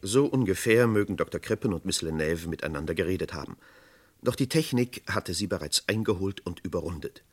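A man's voice: deep voice, expressive, brisk, slightly rough. A man narrating a scripted 1960s true-crime radio play.

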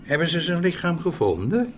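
An actor playing a frail, pious, kindly old village man in a radio drama, speaking in a slow, solemn, reflective way. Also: on the high side, big pitch swings, slight background noise. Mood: courteous, inquiring. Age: older. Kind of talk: Dutch radio drama acting.

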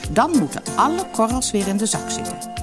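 Woman playing a stern old gatekeeper woman, animated. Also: on the high side, brisk, over music, voice pushed up. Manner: commanding, mocking, serious.

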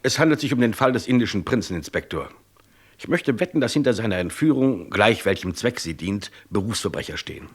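An actor playing a brisk, authoritative Scotland Yard chief in a German radio crime drama, with clipped, commanding delivery and a husky voice. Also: male voice, on the low side.

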